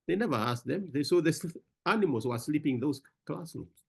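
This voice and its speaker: A man with a somewhat high, gravelly voice answers questions at an online conference. His pitch swings widely as he presses his points, and he often repeats words before landing them. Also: lively intonation, brisk, a little mellow. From Africa. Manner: emphatic, repeats words, addresses listener as brother. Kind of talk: animated panel talk.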